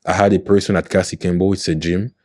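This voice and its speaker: Deep, earnest young man. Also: little pitch movement, fast, darkish tone, no echo, studio quality. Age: 20s. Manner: earnest, motivational, often checks in with "you know what I mean". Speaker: podcast guest. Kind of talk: casual podcast conversation.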